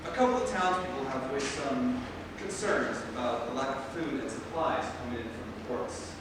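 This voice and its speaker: A man's voice: medium pitch, moderate pace, lightly acted. A man playing a concerned, tentative young fiancé of a princess in a staged reading. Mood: hesitant concern. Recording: distant echoey hall with background noise.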